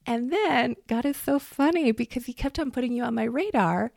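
A woman's voice: fairly high pitch, normal speed, gravelly, a little mellow, dry recording, clean studio sound. A woman hosting a podcast, introducing and chatting casually in a gushing, exclamatory way. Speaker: podcast host. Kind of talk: warm-up introduction and casual chat.

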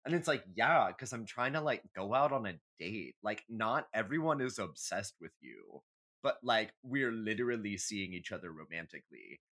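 A man's voice: on the low side, big pitch swings, moderate pace, a bit bright. A man co-hosting a podcast, chatting casually and comically in a sassy, opinionated way with plenty of swearing. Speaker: podcast co-host. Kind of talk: casual comedic astrology chat.

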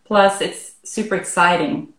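A woman from Norway chatting casually as an interview guest, relaxed and full of "like" and "um", heard over a remote call. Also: lowish voice, measured pace, roomy sound. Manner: relaxed, frequent "like" and "um". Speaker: arborist guest.

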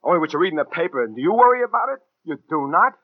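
A man's voice: on the high side, big pitch swings, racing, dry recording. An actor playing an edgy, slangy American gunman in a 1940s radio crime drama, talking tough and clipped. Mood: agitated, defensive. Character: edgy, hard-boiled gunman narrating and bullying his girlfriend. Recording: muffled 1940s radio recording, faint music.

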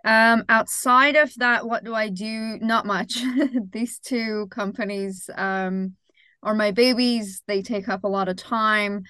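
Smooth-voiced woman from Iran, thoughtful. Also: mid-range voice, steady tone, takes it slowly, pushed and bright, dry recording. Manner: thoughtful, frequent ums and self-corrections. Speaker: guest, startup founder. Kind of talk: conversational interview answers.

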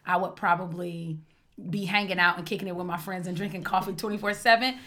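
A woman from Oklahoma hosting a podcast, upbeat and expressive in casual chat. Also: on the low side, fast. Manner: upbeat, expressive, says "honey". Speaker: podcast host.

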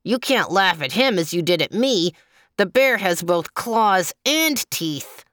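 A woman's voice: mid-range voice, expressive, slow, a bit bright, no echo, studio quality. A woman voicing a touchy, boastful male leopard with a snarling manner in a storybook tale. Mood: spiteful, goading. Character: vain, touchy male leopard, toothless but fierce.

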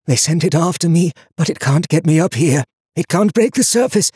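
A man voicing a frightened, ragged male traveler in an audiobook, hoarse and whispery, urgent and pleading. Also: high-pitched, big pitch swings, slow, no room sound, clean studio sound, pitched above the performer's own voice, heavily acted. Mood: fearful. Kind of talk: audiobook character acting.

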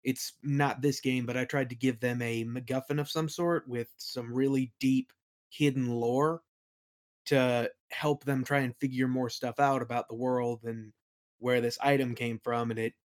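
A man being interviewed as a game master and creator, chatting casually in an informal, enthusiastic manner. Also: mid-range voice. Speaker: podcast guest, game master and audio play creator.

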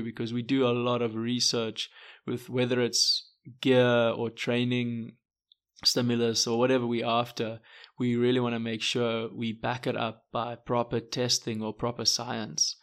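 A man, a podcast co-host and adventure guide, talking in an earnest, reflective way with many fillers. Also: mid-range voice. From South Africa. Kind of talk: casual podcast talk.

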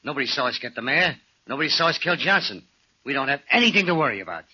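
A man's voice: medium pitch, sing-song, fast, sharp tone, husky, full character voice. An actor playing a cocky, bossy, short-tempered gunman in a 1940s radio crime drama. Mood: gloating. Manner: brash, tough-talking, bullying. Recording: muffled vintage 1940s radio recording.

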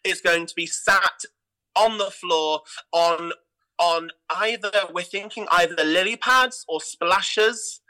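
Bright, high-voiced man, effusive interviewee. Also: slow pace, rough recording. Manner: enthusiastic, effusive. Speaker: theatre artistic director, interview guest. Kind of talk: casual interview chat.

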